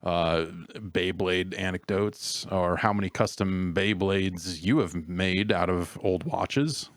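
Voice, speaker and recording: A man's voice: low voice, slow, dry recording. A man co-hosting a podcast with casual, profane comedic banter. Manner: profane, riffing. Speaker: podcast co-host.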